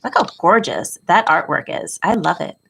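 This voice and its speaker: A woman in middle age with a mid-pitched voice hosts a children's read-aloud. She talks like a tutor addressing families and young listeners, with careful, expressive phrasing suited to storytelling. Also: moderate pace, vocal fry, breathy edge.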